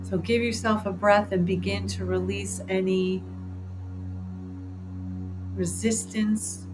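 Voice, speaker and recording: Female meditation guide, mid-pitched, encouraging and informal, speaking to a group with clear music underneath. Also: fairly even intonation, slow pace, poor audio.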